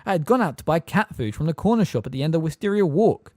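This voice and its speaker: A man's voice: high-pitched, sing-song, a little fast, darkish tone, no echo, studio quality, pitched above the performer's own voice. A man reading an audiobook voices a nervous, frail old woman giving testimony, quivering and gabbling. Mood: flustered. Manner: gabbling, flustered. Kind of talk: audiobook character voice.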